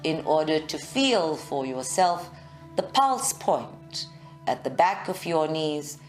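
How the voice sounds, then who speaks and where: A woman guiding a live meditation in a measured, soothing way, with long pauses. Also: low-pitched, big pitch swings, soft background music. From South Africa. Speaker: meditation guide. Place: group meditation session at a centre, recorded live.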